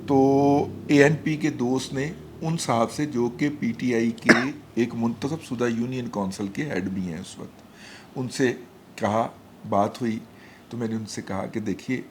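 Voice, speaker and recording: A man's voice: mid-range voice, unhurried. A man on a political talk panel, telling a personal story with rising animation. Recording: faint background noise.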